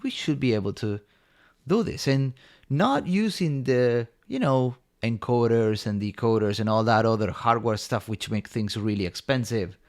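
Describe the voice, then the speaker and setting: A man's voice: mid-range voice, moderate pace. A man from Argentina, a company co-founder, eagerly telling his story in a rambling way with many fillers. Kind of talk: casual storytelling in an interview.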